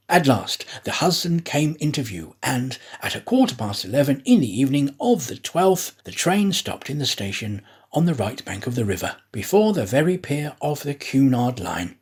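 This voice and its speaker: A man narrates an adventure story in the manner of an audiobook actor. His mid-pitched voice has a husky edge, and his intonation rises and falls widely. The delivery is deliberate and dramatic, shaped for storytelling.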